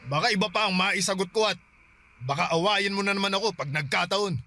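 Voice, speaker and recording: An actor playing a drunk, defensive boyfriend who dodges commitment in a radio drama. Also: male voice, high voice, brisk, sharp tone, soft background music. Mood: weary. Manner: defensive, dismissive. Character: Gerald, evasive drunk boyfriend.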